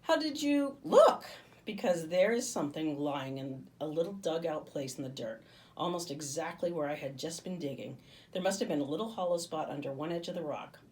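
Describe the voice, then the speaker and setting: A woman reading a children's story aloud as a teacher would, in an expressive narrating style. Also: low-pitched, brisk, recorded from a distance.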